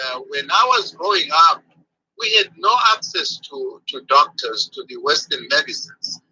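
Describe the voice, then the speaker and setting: An older man from Zimbabwe speaks as a doctor being interviewed, stopping often on fillers and restarting his sentences. His voice is husky and sits a little high for a man. It sounds bright and sharp. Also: dull audio. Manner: hesitant, many fillers and restarts. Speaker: physician guest. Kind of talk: interview answers.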